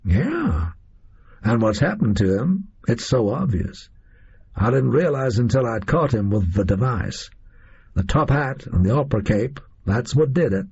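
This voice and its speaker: A male reader voicing a disillusioned, boastful gunman in an audio story, with a high, reedy voice and folksy, slangy delivery. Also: big pitch swings, measured pace, a little mellow, husky, lo-fi sound, exaggerated voice. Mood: brisk, boastful.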